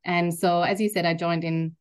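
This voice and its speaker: An earnest woman in her thirties with a German accent, talking through her story as an interview guest. Her voice sits a little low, and she often doubles a word before she moves on. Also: level tone, moderate pace, smooth. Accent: German, with time in Ireland. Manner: earnest, articulate, repeats words as she restarts. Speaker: guest, learning innovation executive. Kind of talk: reflective interview talk.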